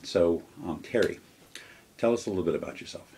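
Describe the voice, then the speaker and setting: A man's voice: low voice, brisk, creaky, mellow, slight background noise. A man interviewing a teacher, asking questions in an easygoing, casual way with fillers.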